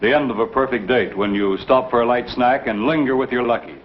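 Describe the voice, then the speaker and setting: A man's voice: medium pitch, brisk, slight background noise. A man reading a 1950s commercial as an announcer, on a muffled old broadcast. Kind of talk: 1950s advertising read.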